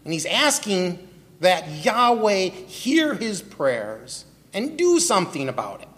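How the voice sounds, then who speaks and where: A man's voice: high voice, big pitch swings, slow pace, a bit bright. A man from Milwaukee preaching a sermon with a personal testimony, putting questions to the listeners and calling on them by name. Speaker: pastor. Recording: long hall reverb.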